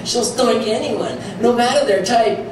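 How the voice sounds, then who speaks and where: Guy doing mean storybook bully duck, high mocking rhymes, noisy distant recording. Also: measured pace. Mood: jeering. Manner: mocking, sing-song rhyming taunts. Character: crude, jeering bully ducks taunting a peer. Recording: noisy echoey live stage, far mic.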